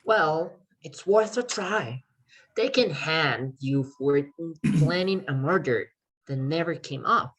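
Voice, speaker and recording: An eager crime writer read by a woman in a readers theater play, with a low voice. The delivery is earnest and persuasive, a little halting, as if laying out a careful scheme to rescue a friend. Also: animated, husky, full character voice, rough recording. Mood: pressing. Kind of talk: amateur readers theater performance.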